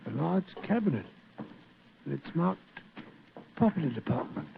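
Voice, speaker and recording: A fussy blustering sidekick gent, high voice, old radio play, tinny and hissy. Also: male voice, very animated, measured pace, gravelly, full character voice. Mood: nervous. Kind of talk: 1940s radio drama acting.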